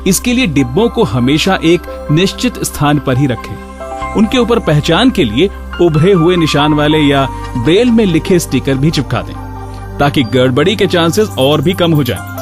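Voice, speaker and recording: Casting: male radio presenter, slightly high voice, instructive scripted delivery, prominent music bed behind. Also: expressive, moderate pace. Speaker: radio show host.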